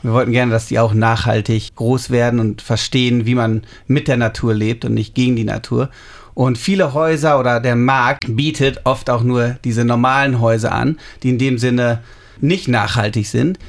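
A man in his thirties from Germany, calmly explaining details in a casual interview. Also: medium pitch, creaky. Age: 30s. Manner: explanatory, unhurried, describing details. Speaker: interviewee, homeowner.

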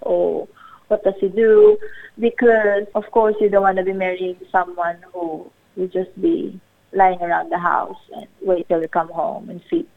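A woman's voice: on the low side, level tone, a little slow, creaky, dry recording. Interviewee, Filipina woman in her thirties, Filipino accent, talkative and laughing, phone-quality audio with a dry sound. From Philippines. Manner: chatty, laughs often, many fillers. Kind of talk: casual interview. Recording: tinny phone line.

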